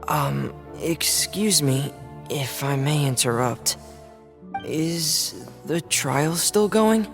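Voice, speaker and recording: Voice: medium pitch, drawn-out words, vocal fry, music in the background. A performer voicing a shy, polite, dutiful boy in a video game, with a hesitant manner.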